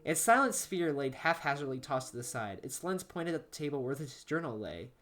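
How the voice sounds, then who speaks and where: A woman's voice: low voice, big pitch swings, fairly quick, a bit bright, faint music behind. A woman giving an amateur, dramatic narration of a horror story.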